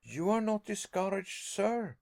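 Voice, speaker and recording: A man doing a desperate young nephew, high and pleading. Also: measured pace, gravelly, full character voice. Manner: excited, pleading cries.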